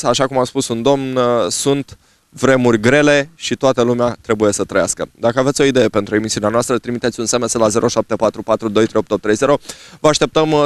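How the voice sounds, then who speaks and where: A man in his thirties hosting a local TV street report into a handheld microphone, pausing often on drawn-out fillers. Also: medium pitch. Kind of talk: unscripted piece to camera. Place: outdoors on a snowy town street. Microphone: handheld microphone held at chest.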